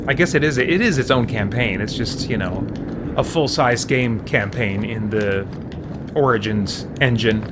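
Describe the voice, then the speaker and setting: A man's voice: on the low side, unhurried, very noisy. A man hosting a let's play, giving casual gameplay commentary. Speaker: let's play host and gamer. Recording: loud game music behind. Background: loud game music and sounds.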